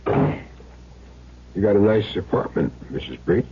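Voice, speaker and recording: Very deep, sardonic radio-drama hitman. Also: expressive, slightly rough, exaggerated voice. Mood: polite, restrained. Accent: American. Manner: clipped, sardonic, deliberate tough-guy delivery. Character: cool, menacing hired hitman, sardonic and controlled. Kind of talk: 1970s radio drama acting. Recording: muffled, hissy 1970s radio broadcast recording.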